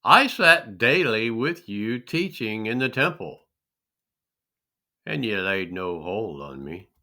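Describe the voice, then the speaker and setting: A man plays a calm holy teacher in a scripture drama. He speaks gravely and slowly, with authority. His phrasing is rhetorical, and the pitch moves expressively. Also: exaggerated voice. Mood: firm reproach.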